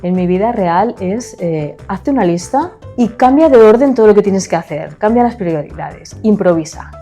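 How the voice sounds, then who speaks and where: Soft-spoken, mellow woman from Spain. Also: medium pitch, expressive, moderate pace, faint music behind. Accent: Spanish from Spain. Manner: soft-spoken, chatty, laughs easily. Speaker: writer, podcast guest. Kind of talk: casual interview answers.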